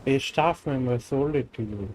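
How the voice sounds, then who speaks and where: An actor playing a calm, shrewd young man with a measured, matter-of-fact delivery in a video game. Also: medium pitch, a little hiss or hum, natural voice, low-quality recording. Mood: assured. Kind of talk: English video game voice acting. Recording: game music clearly playing behind the voice. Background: video game music.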